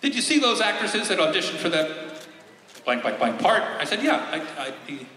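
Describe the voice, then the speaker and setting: A man's voice: high-pitched, big pitch swings, brisk, bright, forceful tone. A man in his sixties telling stories on a convention stage into a handheld mic, playfully acting out voices. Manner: playful storyteller, acts out voices with big swings. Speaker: panel guest telling stories. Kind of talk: live convention panel chat. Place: large convention hall stage with audience. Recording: echoey hall, crowd noise.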